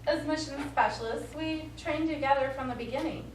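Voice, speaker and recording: A brash, excitable woman astronaut played by a stage actress with a high, sardonic voice. She is heard from far off in an echoey hall with faint noise. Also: a little fast, lightly acted. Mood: sardonic, fond. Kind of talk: stage acting.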